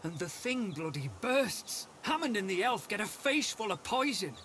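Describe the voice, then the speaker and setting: A man's voice: high-pitched, sing-song, moderate pace, pushed and bright, slight background noise, heavily acted. An actor voicing a coarse, shaken male soldier. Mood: rattled. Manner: coarse, rattled. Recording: game audio under music.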